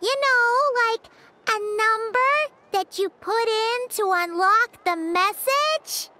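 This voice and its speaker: A woman's voice: extremely high pitch, slow, bright, forceful tone, heavily acted. A woman voicing a tiny flying fairy companion in a video game, childlike, exclamatory and blunt. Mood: blunt, coaxing.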